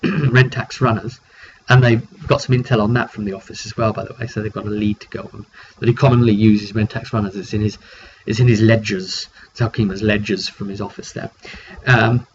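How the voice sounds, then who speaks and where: A man's voice: lowish voice, fairly even intonation, a little fast. A British man, a game master, casually recounting a tabletop roleplay session in a chatty, rambling way and acting out what players said. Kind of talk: casual storytelling monologue. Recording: dull lo-fi mic with faint noise.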